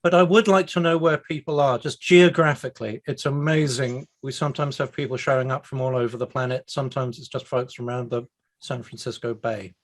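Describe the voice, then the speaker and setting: A man's voice: mid-range voice, steady tone, fairly quick. A man from the UK hosting an online meetup over a video call, chatty and self-deprecating. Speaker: meetup host. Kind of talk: casual online meetup chat.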